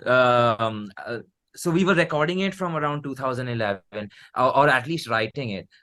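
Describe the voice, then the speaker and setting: A man's voice: medium pitch, moderate pace, bright, forceful tone. A man in his thirties with an Indian accent, chatting casually as an interview guest. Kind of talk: casual chat.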